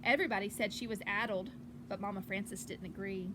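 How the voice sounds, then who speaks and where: A woman teacher reading a picture book aloud to children outdoors on a porch, with gentle, encouraging asides. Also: mid-range voice, fairly even intonation, a little fast. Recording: clearly noisy outdoor ambience.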